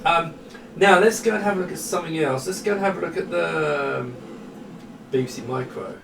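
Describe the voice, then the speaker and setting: The sound is roomy and a little distant, with faint noise behind it. A man with a creaky edge to his voice talks through a product demonstration. He is casual and unscripted, thinking aloud and putting himself down as he goes. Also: on the high side, fairly quick. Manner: rambling, self-deprecating, thinking aloud. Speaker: hardware designer demonstrating a product.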